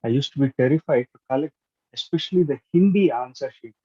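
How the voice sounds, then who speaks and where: Man, Indian English accent, native Tamil speaker, earnest prepared speech, warm dark tone, dull lo-fi call audio. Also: mid-range voice, fast, breathy edge. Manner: earnest, storytelling, with restarts. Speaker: club speaker. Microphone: video call.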